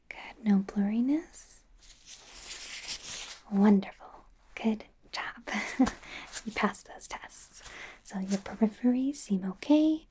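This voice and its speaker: A woman playing a friendly, self-correcting werewolf doctor in an ASMR roleplay, speaking in a soft, gentle, hesitant whisper. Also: fairly high pitch, a little hiss or hum.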